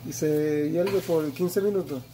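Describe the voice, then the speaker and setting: A man's voice: on the high side, takes it slowly, dark tone. Curious man filming on a phone. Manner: curious, asks many questions. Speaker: friend filming the cooking. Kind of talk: casual kitchen chat. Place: small home kitchen, indoors. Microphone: phone camera in hand. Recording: phone audio in a noisy kitchen.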